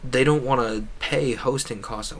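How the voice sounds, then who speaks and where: A man's voice: mid-range voice, fairly even intonation, unhurried, slight background noise. A man giving casual gameplay commentary as a streamer, thinking aloud in a wordy, meandering way. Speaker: game streamer.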